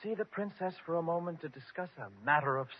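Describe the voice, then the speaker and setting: An actor playing a courtly, romantic and earnest young prince in an old-time radio drama. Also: male voice, a little high, quick pace, natural voice. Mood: ardent. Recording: dull old radio recording with hiss.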